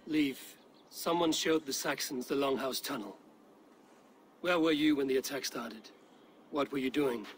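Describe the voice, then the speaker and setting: An actor playing a stern Viking warrior in a video game, questioning people in a measured, grave way. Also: male voice, mid-range voice, slight background noise, close to an everyday voice. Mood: probing. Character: stern probing Viking warrior investigating a betrayal. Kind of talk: video game cutscene dialogue.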